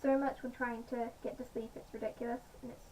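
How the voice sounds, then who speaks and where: A woman with a slightly croaky voice, chatting casually in a home vlog with many pauses and fillers. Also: a little high, flat delivery, dark tone. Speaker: vlogger. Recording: distant mic, faint background noise.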